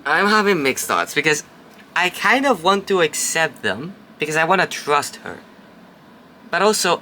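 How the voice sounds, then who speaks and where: A man's voice: medium pitch, bright, forceful tone, a little hiss or hum. A man casually playing a story game, reading its narration aloud and chatting between lines, now and then swearing. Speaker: let's play host.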